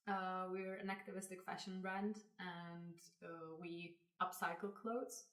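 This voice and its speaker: A woman's voice: fairly low pitch, little pitch movement, unhurried, fairly bright tone. Young woman, distant roomy sound. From Czech Republic. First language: Czech. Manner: casual, leans on "like" as a filler. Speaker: fashion brand co-founder. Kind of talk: interview answers.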